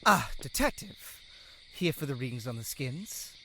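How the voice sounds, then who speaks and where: A man's voice: mid-range voice, big pitch swings, a little slow, noisy background. A man playing a wry, cryptic forensics analyst in an audio drama, phrasing things dramatically. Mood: intrigued, ominous.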